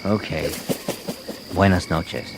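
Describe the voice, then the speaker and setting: A man's voice: deep voice, steady tone, slow. A man acting in a comic radio play as a bashful, smitten, goofy fellow with a sheepish, folksy manner. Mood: bashful, flirting. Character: bashful, smitten, goofy male crab eater. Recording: noisy night ambience with insects.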